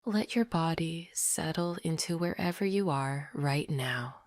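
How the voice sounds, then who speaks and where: A woman narrating a scripted sleep meditation in a soft, breathy near-whisper, gentle and deliberate. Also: sits low, no room sound, clean studio sound.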